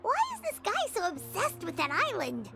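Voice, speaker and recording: Tiny fairy companion for a game: very high, squeaky, wildly animated, nosy and excitable. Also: female voice, moderate pace, gravelly, over loud music, full character voice. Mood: incredulous. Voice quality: squeaky, childlike. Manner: exclamatory, incredulous. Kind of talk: video game voice acting.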